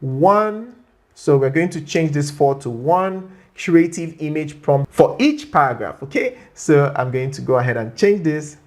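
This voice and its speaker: A tutorial host talking viewers through steps on screen in a chatty, playful way, often checking in with a quick okay or right. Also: medium pitch, lively intonation. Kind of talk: screen-share tutorial narration.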